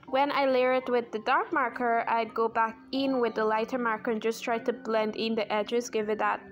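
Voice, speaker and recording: A young Guyanese woman with a high, light voice rambles cheerfully through an art vlog, full of self-deprecating asides. She talks casually while drawing at a desk indoors, with faint music playing underneath. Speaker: art channel host. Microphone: overhead camera on hands.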